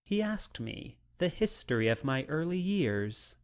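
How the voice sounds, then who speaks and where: A man reading a classic novel as a dramatic audiobook, with a solemn, deliberate, literary delivery. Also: fairly high pitch, very animated. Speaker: audiobook narrator.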